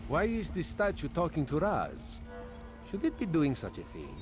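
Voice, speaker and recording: Roguish cat-man with swooping, lively delivery. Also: fairly high pitch, moderate pace, music in the background. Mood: puzzled. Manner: third-person speech, droll, theatrical. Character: roguish, wry cat-man agent, speaks of himself by name. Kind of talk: fantasy video game voice acting.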